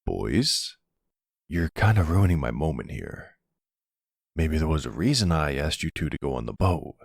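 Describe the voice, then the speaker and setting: A man playing a middle-aged mafia boss and devoted stepfather in an intimate roleplay audio drama, with a husky, gravelly voice and an affectionate, wry way of talking. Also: extremely low pitch, very animated, moderate pace, no room sound, clean studio sound, heavily acted.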